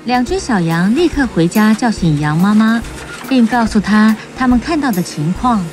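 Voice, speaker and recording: A woman's voice: on the high side, animated, normal speed, a little mellow. A woman storyteller reading a scripted children's tale as narrator. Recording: music bed under narration. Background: music.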